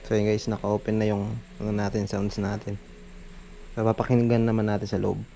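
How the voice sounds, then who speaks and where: Filipino man, mellow dark tone, medium pitch, casual product review, informal delivery, slightly noisy recording. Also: completely flat delivery, fairly quick, vocal fry, muffled recording. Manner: informal, addressing viewers as guys. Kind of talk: casual hands-on product demo. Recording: noisy amateur recording with background ambience.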